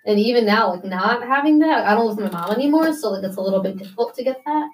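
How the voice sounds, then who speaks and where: A woman's voice: fairly high pitch, expressive, fast talker, distant mic, low-quality recording. Chatty young woman, a bit off-mic. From United States. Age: young adult. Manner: chatty, many "like" fillers. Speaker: interviewee. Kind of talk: casual interview.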